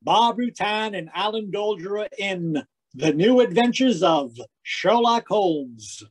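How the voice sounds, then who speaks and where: A hearty old-time radio announcer. His voice sits high for a man, with a folksy, cheery salesman's manner in the 1940s style, recorded over a live video call. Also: lively intonation, slow, full character voice, low-quality recording. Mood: hearty, selling. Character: cheery, folksy male radio announcer, eager sidekick listener. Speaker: radio announcer and sponsor pitchman.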